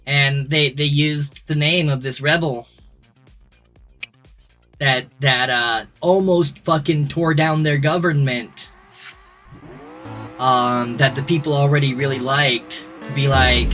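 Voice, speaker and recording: A man's voice: mid-range voice, fairly even intonation, takes it slowly, pauses often, pushed and bright. Rambling man streaming, music behind. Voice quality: droning when ranting. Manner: rambling ranting with restarts. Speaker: gaming streamer. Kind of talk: casual livestream chat. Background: clear background music.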